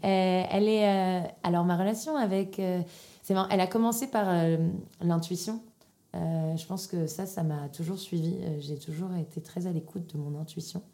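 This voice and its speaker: A woman in her thirties with a Parisian accent talks as an interview guest. Her voice is low and dark, and she speaks informally, searching for her words as she goes. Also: fairly even intonation, moderate pace. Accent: Parisian French. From Paris region, France. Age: 30s. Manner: informal, hesitant, thinking aloud. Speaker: podcast guest, entrepreneur. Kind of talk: casual interview answers.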